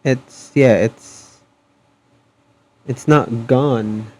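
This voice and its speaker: Slow-talking, hesitant male streamer. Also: medium pitch, darkish tone. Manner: hesitant, halting. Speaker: game livestreamer. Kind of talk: casual stream chat.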